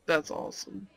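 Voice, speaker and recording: A man playing a shy, polite, slightly dorky young goth on a blind date, in a romantic ASMR roleplay, with a husky, creaky voice. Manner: hesitant, soft-hearted, nervous little laughs.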